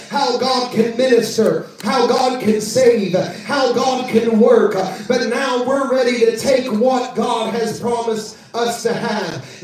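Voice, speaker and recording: A man's voice: very high voice, a little mellow, distant mic. A man preaching a sermon in a church service, reading scripture aloud and calling out to the congregation. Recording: echoey church from off-mic.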